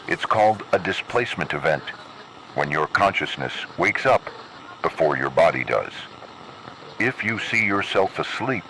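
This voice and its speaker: A grave emergency broadcast announcer, played by a man, with a low, bright-edged voice. He gives measured, scripted horror warnings as instructions, over faintly noisy radio-like audio. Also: heavily acted.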